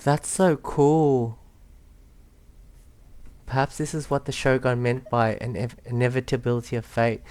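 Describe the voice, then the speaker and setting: A woman's voice: very deep voice, little pitch movement, unhurried, long pauses, a little mellow. A woman giving casual reaction commentary as a let's play streamer, in short informal remarks.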